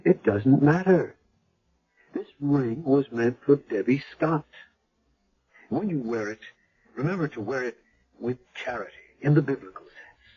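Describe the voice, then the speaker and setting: An actor playing a calm man who reads a will aloud, speaking in a gentle, measured, solemn way in a 1970s radio drama. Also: mid-range voice, breathy edge. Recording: dull lo-fi radio broadcast.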